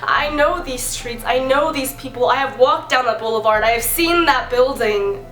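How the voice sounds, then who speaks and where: A young American woman recites spoken-word poetry in a fairly high voice. Her delivery is earnest and dramatic, built on deliberate pauses and repeated phrases. The room adds some echo, and soft music plays behind her. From San Bernardino area, California, United States. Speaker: amateur spoken-word poet.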